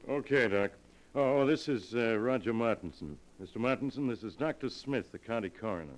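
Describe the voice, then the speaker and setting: Man voicing a blunt police inspector, brisk questions, old radio drama style. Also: mid-range voice, animated, close to an everyday voice. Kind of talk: 1940s radio acting.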